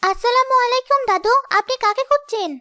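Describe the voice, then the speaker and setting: A woman's voice: extremely high pitch, brisk, bright, forceful tone, no echo, clean studio sound. A woman voicing a kind, obedient poor young girl in a cartoon, earnest and polite. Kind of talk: cartoon dialogue.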